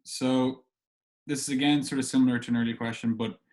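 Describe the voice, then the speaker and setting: Guy podcast host, average pitch, casual and informal, kinda halting when asking stuff. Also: steady tone, darkish tone. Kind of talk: casual conversational interviewing.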